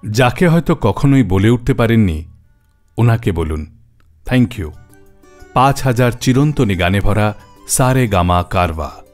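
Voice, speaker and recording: A man's voice: fairly low pitch, animated, a little slow, long pauses, soft background music. Male announcer for a scripted commercial voice-over. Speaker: advertisement announcer.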